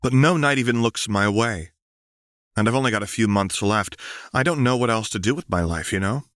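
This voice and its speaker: A man reading aloud, putting on a frustrated teenage alien girl, pitched up from his own low voice. She sounds earnest and pleading, close and dry. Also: fairly quick, fairly bright tone, dry recording, natural voice. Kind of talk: audiobook fan fiction reading.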